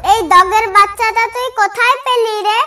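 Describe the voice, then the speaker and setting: A performer voicing an eager, inquisitive, excitable village child in a cartoon. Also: very high-pitched, normal speed. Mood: eager, curious. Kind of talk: Bengali cartoon voice acting. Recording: distant mic under clear music.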